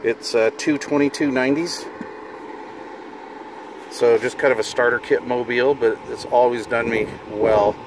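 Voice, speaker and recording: A middle-aged man with an average-pitched voice gives an informal hands-on demo, sometimes muttering to himself as he works. He is recorded inside a vehicle, with clear air and car noise behind him. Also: steady tone, normal speed, pauses often. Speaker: hobbyist video host demonstrating radio gear. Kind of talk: casual hands-on demonstration. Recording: noticeable car and fan noise.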